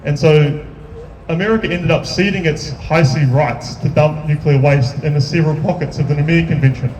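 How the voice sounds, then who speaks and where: A man with a somewhat high voice delivers an earnest, building speech at an outdoor protest rally. He stumbles and repeats words as his conviction rises. He is picked up from a distance, with an echoey sound and faint noise around him. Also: moderate pace. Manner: earnest, builds to raised intensity, repeats words when restarting. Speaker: activist speaker.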